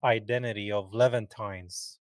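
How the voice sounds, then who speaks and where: A man's voice: fairly low pitch, fairly even intonation, unhurried, dry recording, clean studio sound. A man from Palestine hosting a podcast, chatting casually and emphatically with his guest. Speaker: podcast host. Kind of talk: casual interview chat.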